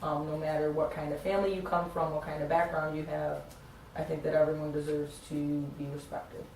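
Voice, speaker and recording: A woman's voice: low-pitched, flat delivery, a little fast, gravelly, a little mellow. A woman playing a supportive, earnest mother in a family therapy role-play, talking in a reassuring way. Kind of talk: role-played therapy session. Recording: very echoey room, distant mic, faint noise.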